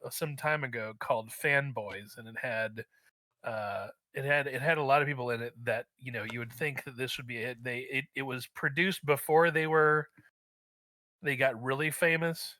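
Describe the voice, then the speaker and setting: A man's voice: medium pitch, steady tone, normal speed, bright, forceful tone, no room sound. A man co-hosting a livestream in casual banter, playfully riffing with mock seriousness. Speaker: guest co-host.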